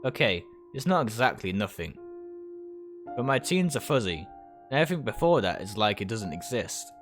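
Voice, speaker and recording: A man's voice: lowish voice, very animated, moderate pace, over music. A man voicing a troubled, guarded young amnesiac in a visual novel, speaking plainly and hesitantly. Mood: resigned, hesitant.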